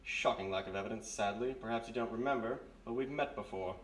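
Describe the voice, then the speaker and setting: A man's voice: lowish voice, quick pace, lightly acted. A man acting a courteous but menacing British military police major in an old-time radio mystery, formal and clipped. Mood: smug, formal. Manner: formal, clipped, smugly insinuating. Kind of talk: 1940s radio mystery acting, live on stage. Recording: echoey live stage, distant, faint noise.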